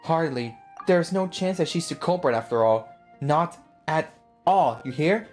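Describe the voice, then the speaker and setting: A woman's voice: deep voice, animated, measured pace, a little mellow, soft background music, pitched below the performer's own voice. Woman reading as a dramatic, lovelorn game husband. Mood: brooding. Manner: dramatic, defensive.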